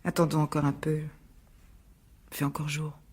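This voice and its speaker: An actress playing a defensive, exasperated middle-aged divorced mother in a French radio drama, argumentative, with a husky voice. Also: deep voice, flat delivery, normal speed, a little mellow. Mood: weary, subdued.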